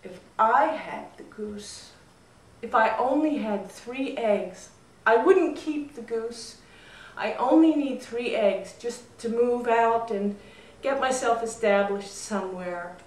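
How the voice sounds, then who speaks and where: A woman's voice: a little high, takes it slowly. A woman storyteller voicing a frustrated, earnest young man in a fable, with emphatic delivery. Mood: despairing. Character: restless, frustrated young man in brother's shadow. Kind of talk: live storytelling. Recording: roomy echo, distant mic.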